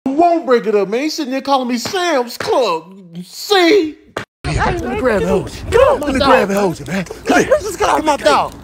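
A man's voice: extremely high pitch, big pitch swings, unhurried, noisy background, full character voice. A man voicing irritable, scrappy house pets in a comic animal voiceover, slangy and exasperated. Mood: indignant.

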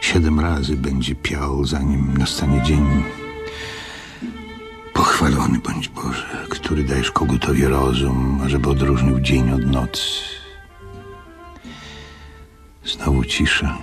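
Very deep-voiced old pious innkeeper. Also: male voice. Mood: prayerful, weary. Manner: solemn, reflective, prayerful. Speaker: radio drama actor. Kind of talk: dramatic monologue in a radio play.

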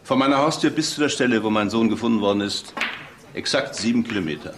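A man's voice: mid-range voice, fast, noisy background, lightly acted. An actor playing an embittered middle-aged father, insistent and exact, stressing his points in a crime radio drama. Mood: insistent, bitter. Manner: emphatic, exact, repetitive.

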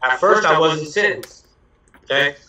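A man's voice: fairly high pitch, expressive, measured pace, sharp tone, no room sound, poor audio. A man in his thirties, a guest on a video call, bluntly recounting his past in plain street slang. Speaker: interview guest. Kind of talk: telling his life story.

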